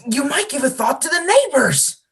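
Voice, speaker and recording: A woman's voice: high voice, big pitch swings, moderate pace, vocal fry, a bit bright, exaggerated voice. A woman playing a beleaguered, folksy small-town man in charge of a solemn tradition, in an amateur play acted over video call. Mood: exasperated. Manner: folksy, exasperated, officious. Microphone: video call microphone.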